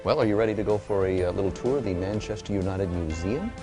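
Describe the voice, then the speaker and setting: A man's voice: low-pitched, fast, music in the background. A Canadian man co-hosting a travel show, interviewing locals and presenting sights in a friendly way. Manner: friendly presenter, expressive delivery.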